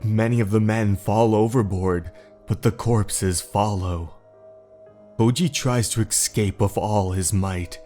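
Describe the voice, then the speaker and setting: A man narrating a horror story in a slow, dramatic, brooding way. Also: fairly low pitch, warm tone.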